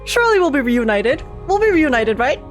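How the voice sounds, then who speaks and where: A woman with a fairly high voice talks like a game streamer reacting on camera. She is informal and gushing, often doubling back on phrases to stress them. Some music plays behind her. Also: big pitch swings, brisk. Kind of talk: casual excited gaming commentary.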